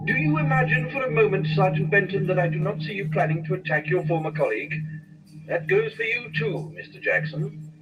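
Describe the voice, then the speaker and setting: A man voicing a menacing alien villain in an audio drama, speaking with smooth, coldly polite taunts over a dull, lo-fi track with music behind. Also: sits high, huge pitch swings, brisk. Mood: taunting. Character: menacing villainous alien mastermind, male, adult.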